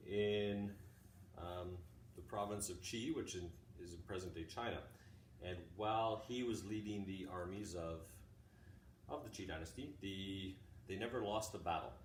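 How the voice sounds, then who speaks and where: A middle-aged man, a teacher reading aloud in a deliberate way, pausing often between short phrases. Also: lowish voice. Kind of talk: informal introduction and measured read-aloud of a classic book. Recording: roomy, distant mic, faint background noise.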